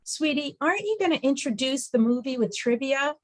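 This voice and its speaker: A woman acts out a doting mother coaxing a grown son in a comedy script. The voice sits fairly high and moves up and down in an animated, placating way. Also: fairly quick. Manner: sweetly coaxing, protective. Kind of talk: comedy script table read.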